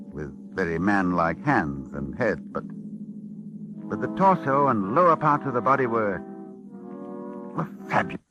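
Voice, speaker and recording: A shaken, swooping learned doctor. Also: male voice, lowish voice. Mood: horrified, hushed. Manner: halting, dramatic. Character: shaken, learned male doctor recounting horrors. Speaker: actor and narrator. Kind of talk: 1940s radio horror drama acting. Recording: restored 1940s radio recording with music.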